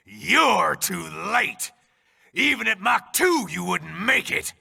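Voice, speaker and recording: An actor playing a sadistic, warmongering cyborg mercenary in a game cutscene, gravelly, taunting and theatrical. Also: male voice, high voice, big pitch swings, takes it slowly, heavily acted. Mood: sadistic glee. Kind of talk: video game cutscene acting. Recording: long hollow reverb.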